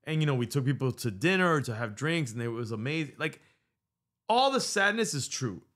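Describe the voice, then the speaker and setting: A man's voice: medium pitch, sing-song, fairly quick, fairly bright tone. A middle-aged man hosting a podcast, telling a long story in casual chat, swearing freely and dropping Spanish words, into a studio mic. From United States. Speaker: podcast host. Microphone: studio mic on boom arm.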